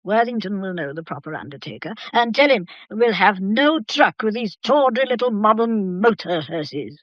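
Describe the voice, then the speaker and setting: Imperious old matriarch played by an actress, muffled sound. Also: female voice, mid-range voice, animated, normal speed, no echo, close to an everyday voice. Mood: imperious, disapproving. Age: older. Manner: commanding, formal, disapproving. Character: imperious old matriarch grandmother, stern and proud. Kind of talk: period mystery radio drama acting.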